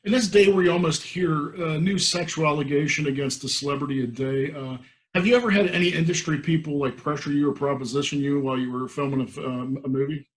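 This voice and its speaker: A man's voice: a little high, fast, poor audio. A man hosting an interview, asking questions casually with short reactions and stammered restarts like an eager fan. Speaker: show host and interviewer.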